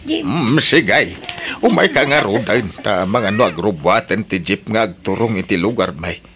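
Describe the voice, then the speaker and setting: A deep, fatherly voice with an Ilocano accent, a hospitable middle-aged farmer uncle in a radio drama. He talks in an easygoing, kindly way. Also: animated, normal speed, fairly bright tone, slight background noise. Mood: welcoming. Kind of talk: Filipino radio drama acting.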